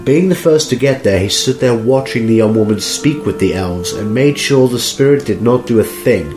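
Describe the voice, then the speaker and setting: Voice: mid-range voice, lively intonation, moderate pace, music in the background. A narrator reading scripted story narration for a fan audio drama.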